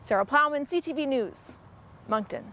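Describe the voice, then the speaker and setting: A woman's voice: high voice, lively intonation, moderate pace, bright, forceful tone, slight background noise. A woman narrating a scripted television news feature as a reporter.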